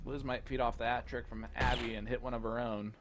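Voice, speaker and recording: A man's voice: medium pitch, fairly quick. Chuckling male darts commentator, noisy line. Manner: relaxed, chuckling between calls. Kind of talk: play-by-play commentary. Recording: noticeable background noise.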